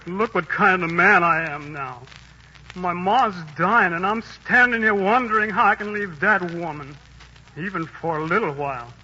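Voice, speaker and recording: An actor playing a jealous, desperate young soldier in a 1940s radio drama, bitter and quick to anger. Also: male voice, high voice, pushed and bright, breathy edge, exaggerated voice. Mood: torn. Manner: bitter, hot-tempered, breaks into anger. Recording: dull old radio broadcast.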